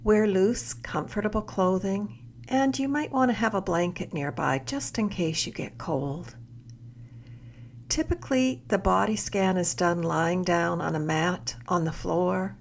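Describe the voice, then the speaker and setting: A woman leading a guided meditation as a mindfulness mentor, with gentle, measured instruction and soft, breathy phrase endings. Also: on the low side, slight background noise.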